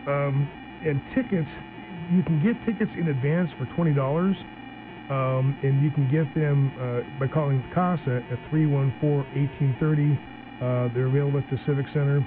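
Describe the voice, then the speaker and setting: A man's voice: medium pitch, fairly even intonation, moderate pace. A man hosting a casual radio interview, chatty and upbeat, often restarting his sentences. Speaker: radio talk show host. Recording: tinny phone-like broadcast with music.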